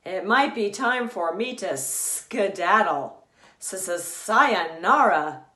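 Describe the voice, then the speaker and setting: A sly, menacing storybook snake, played by a woman. The words are stretched out slowly with a hissing, taunting edge. It is recorded in an echoey room. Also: mid-range voice, lively intonation, pushed and bright. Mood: backing off.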